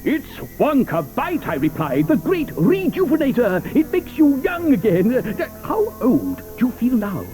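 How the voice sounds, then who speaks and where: An actor playing an eccentric, theatrical chocolate inventor who hesitates with many "uh"s and tells stories full of wonder. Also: male voice, very high voice, wildly swooping pitch, a little slow, few pauses, exaggerated voice. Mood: storytelling. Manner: hesitant, with frequent "uh"s, then storytelling with wonder. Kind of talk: 1980s audio drama acting. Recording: studio audio drama with soft music underneath.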